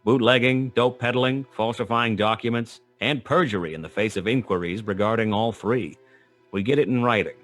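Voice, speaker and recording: An actor playing a wry, hard-boiled private detective, narrating a noir radio drama in a dry, sardonic way. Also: male voice, lowish voice, moderate pace, fairly bright tone, faint music behind, lightly acted. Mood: wry narration.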